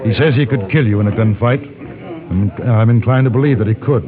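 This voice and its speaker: An actor playing a calm, probing frontier gentleman who is hero and narrator of a Western radio drama, measured and understated in his questioning. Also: on the low side, a crowd in the background, lightly acted. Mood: reasoning. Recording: dull old radio recording with music.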